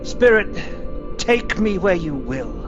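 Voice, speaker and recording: A man playing a frightened, pleading old miser in a radio play, speaking haltingly. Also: high-pitched, very animated, full character voice. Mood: awed, humbled. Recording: echoey, muffled, music behind.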